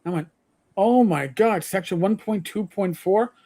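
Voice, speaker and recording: A man's voice: high-pitched, a little slow, warm tone. A middle-aged man co-hosting a gaming livestream, rambling with stammered restarts and the odd swear. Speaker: livestream co-host. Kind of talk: casual gaming chat.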